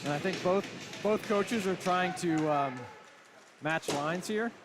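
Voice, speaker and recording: A man in his fifties giving relaxed hockey color commentary, hesitant and full of fillers. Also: high-pitched, slow pace. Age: 50s. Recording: loud music in the background. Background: loud arena music.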